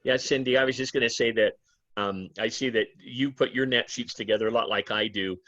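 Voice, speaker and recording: A man with a sharp, bright voice chimes in during a real estate class, halting and repeating himself as he talks. The sound is dry and dull, like a lo-fi call. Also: medium pitch, fairly even intonation, no echo. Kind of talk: class discussion comment.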